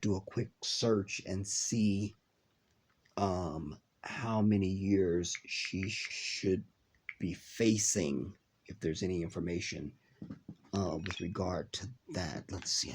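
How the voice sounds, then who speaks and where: A man's voice: low-pitched, a little mellow, slightly rough. A congested man with a stuffy voice, casually talking to viewers in a reaction vlog, with lots of ums and trailing phrases. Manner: rambling with many ums, drawn-out words. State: congested from a cold. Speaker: video reaction host.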